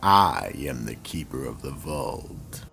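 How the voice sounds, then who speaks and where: A man hosting and narrating a horror radio drama in a slow, ominous, dramatic way. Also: a bass voice, big pitch swings, gravelly, pushed and bright, faint music behind. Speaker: horror anthology host and narrator.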